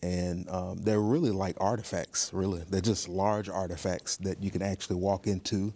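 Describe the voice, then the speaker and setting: A man speaking as an interview guest in a thoughtful, earnest way, pausing to choose his words. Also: sits low, fairly even intonation, dark tone. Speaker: museum professional, podcast guest.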